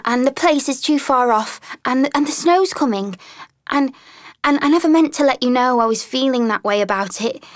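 A woman's voice: high-pitched, no echo, studio quality, pitched above the performer's own voice, lightly acted. A woman voicing a small, timid, homesick female mole who sobs and stammers as she speaks. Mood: pleading. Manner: sobbing, halting, stammering. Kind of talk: storybook character voice.

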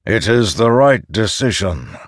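A man's voice: lowish voice, big pitch swings, measured pace, no echo, voice pushed up. A stern council leader handing down a harsh verdict, as a man puts him on. He speaks firmly and leaves no room to argue. The voice sits close and dry. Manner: firm, judgmental.

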